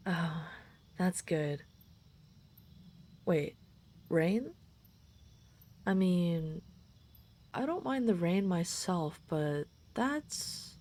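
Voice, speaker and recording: A woman playing a gentle, caring dragon friend in an intimate roleplay audio drama, speaking in a reassuring, considerate, slightly hesitant way. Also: on the low side. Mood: mildly concerned.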